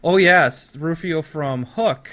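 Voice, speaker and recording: A man's voice: fairly high pitch, slow pace, pushed and bright. A man hosting a casual podcast chat about films, speaking in an enthusiastic, effusive manner. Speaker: podcast host.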